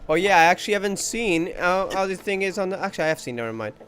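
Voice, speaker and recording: A man's voice: high-pitched, expressive, rapid-fire, fairly bright tone. A man giving casual running commentary as he plays through a video game. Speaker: gamer commentating on playthrough. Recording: faint game music behind.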